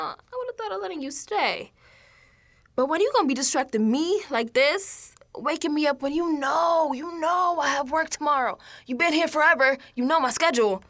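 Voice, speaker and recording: A woman's voice: high-pitched, no echo, full character voice. An actress playing an exasperated, sassy young American woman in a colloquial radio drama monologue, slangy and confessional. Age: young adult. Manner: slangy, sassy, animated, sighing asides.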